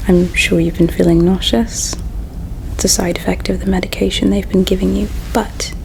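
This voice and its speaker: A woman voicing a gentle, resigned alien royal in a breathy, hushed whisper, tender and halting, as in an ASMR roleplay. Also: medium pitch, little pitch movement, slight background noise, natural voice, rough recording. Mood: hushed, caring. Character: gentle, resigned female alien royal, self-sacrificing and caring.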